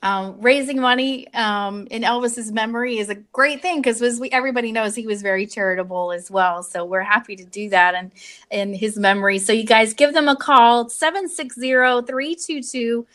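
Higher-voiced woman hosting a podcast. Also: normal speed, fairly bright tone. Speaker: podcast host. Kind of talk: chatty podcast hosting and interviewing.

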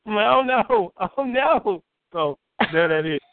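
A man from Chicago, a poet and storyteller, telling his story in an interview with a booming voice and an outspoken, repetitive manner. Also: a little slow, no room sound. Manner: outspoken, repeats words when excited. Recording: tinny phone-like line, dry.

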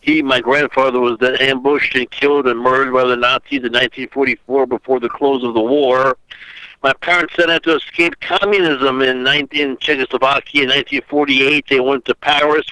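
Bright, clear-voiced older man on a call. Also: medium pitch, fairly even intonation, dry recording, low-quality recording. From Austria. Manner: rambling, halting with many fillers. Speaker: guest author. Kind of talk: phone interview. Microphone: internet call audio.

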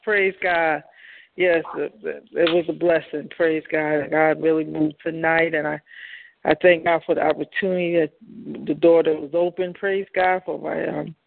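A woman's voice: sits low, little pitch movement, normal speed, creaky, slight background noise. A woman, a prophetess, giving a short exhortation and filling her phrases with "praise God" and "hallelujah". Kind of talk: exhortation by phone. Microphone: phone. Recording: tinny phone line.

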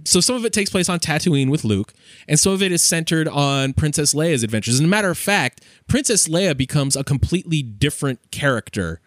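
A man's voice: on the high side, lively intonation, brisk, no echo, clean studio sound. A man talking through a topic in a conversational podcast discussion. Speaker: podcast speaker.

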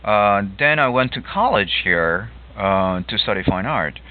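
A middle-aged man from Japan, speaking English as a second language in a relaxed chat, with many fillers and restarts. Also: lowish voice, a little slow. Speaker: host. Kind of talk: casual conversation. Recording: phone-like narrow audio line.

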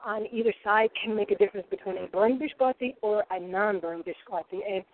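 A woman's voice: medium pitch, a little fast. A woman in her forties with a Rhode Island accent, a guest cook chatting in a boisterous, rambling way. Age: 40s. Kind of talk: casual chat. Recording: tinny phone-like sound.

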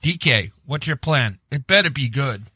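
Man playing a bright-voiced, wry, wary bowler. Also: medium pitch, expressive, moderate pace, slightly rough, no room sound, studio quality. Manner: wry, skeptical. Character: wary, wry bowler pal expecting doom. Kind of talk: hobby comedic audio drama acting.

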